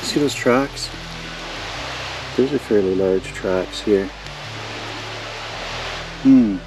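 An older man, a homesteader who casually narrates as he walks outdoors through woods and swamp, pointing things out in a relaxed, rambling way. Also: on the low side, very slow, over music, rough recording. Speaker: homesteader vlogger.

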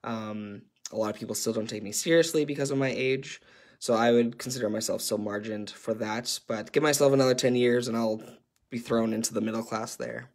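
A man's voice: medium pitch, fairly even intonation, fairly quick, darkish tone. A young man with a Canadian accent leading an online workshop, speaking earnestly and hesitantly with many filler sounds. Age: young adult. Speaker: workshop facilitator.

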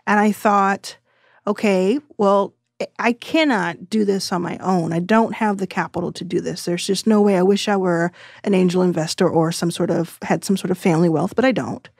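A woman in her thirties speaking as a podcast guest, explaining her views in an earnest, measured way. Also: lowish voice, steady tone, darkish tone, dry recording, studio quality. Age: 30s. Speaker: guest investor. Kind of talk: reflective podcast interview.

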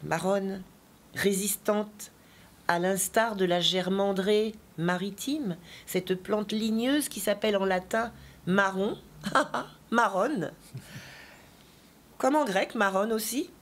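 A woman poet and teacher giving an interview, telling stories with many asides and then reading her poetry slowly and deliberately. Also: mid-range voice. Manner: digressive, chatty storytelling with asides; slow, deliberate poetry recitation.

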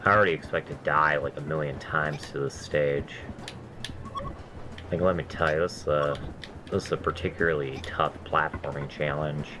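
A man with a very deep, nasal voice talks through his gameplay in loose, rambling asides. He drifts between memories and grumbles at each failed jump. A steady hiss of noise sits under the recording. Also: moderate pace. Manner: rambling, self-deprecating, swears at deaths. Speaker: gameplay commentator. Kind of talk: casual let's-play chat.

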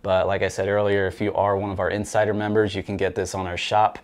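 A man in his thirties talks through a fishing reel in an easy, chatty way, dotted with fillers. His voice sits a little low. He is seated in a small room, speaking to the camera. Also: fast. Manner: relaxed, conversational, frequent fillers. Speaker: fishing gear reviewer. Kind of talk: casual product review to camera. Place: small indoor room with rod racks.

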